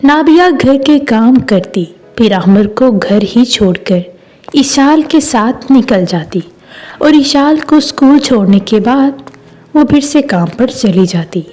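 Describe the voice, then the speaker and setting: A woman storyteller with a high, mellow voice. She reads a scripted story at a slow pace, with music behind her. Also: animated. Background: background music.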